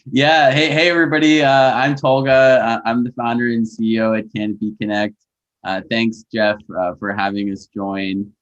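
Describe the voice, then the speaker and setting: A man's voice: mid-range voice, level tone, normal speed. A man, a company founder, introducing himself on a video call in an easygoing, hesitant way with many fillers. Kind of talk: casual webinar introduction. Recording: dull call audio.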